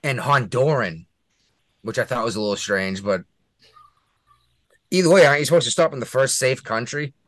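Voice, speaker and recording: A man's voice: mid-range voice, normal speed, long pauses, dry recording. A man co-hosting a casual political chat show, crude and joking, laughing at his own jokes. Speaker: podcast co-host.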